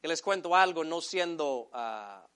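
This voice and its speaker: Earnest bright-voiced male preacher. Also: fairly high pitch, lively intonation, smooth. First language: English. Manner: earnest preaching, slows and stresses key phrases, addresses listeners as brothers. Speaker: pastor. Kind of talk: sermon.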